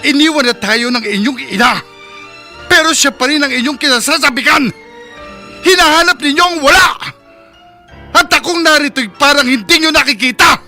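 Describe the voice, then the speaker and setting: A man's voice: extremely high pitch, normal speed, pushed and bright, soft background music, full character voice. An actor with a Filipino accent playing a bitter, proud abandoned husband and father in a melodramatic radio drama, intense and emphatic. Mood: anguished anger.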